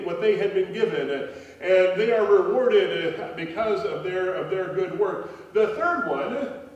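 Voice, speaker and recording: A man's voice: a little high, dull audio. A man in his forties, a pastor preaching a conversational sermon from a pulpit, telling stories with a few fillers. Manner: conversational storytelling with gestures, frequent fillers. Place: church sanctuary, at a pulpit. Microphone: pulpit microphone, filmed by a far camera. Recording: echoey church hall, voice from a distance.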